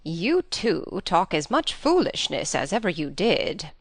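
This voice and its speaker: A slow, plain-spoken old grandmother with a lively, rising and falling voice, as a woman reader puts her on. She sounds literal-minded and mildly reproving, recorded dry and close. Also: on the low side, no echo, voice pushed down, heavily acted.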